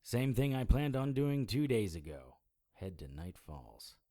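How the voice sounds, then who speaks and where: Supernatural private eye, deep, dry and sardonic, world-weary, very varied intonation, radio drama. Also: male voice, very animated, measured pace, dark tone. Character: wry, weary male supernatural private investigator. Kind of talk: audio drama acting with first-person noir narration.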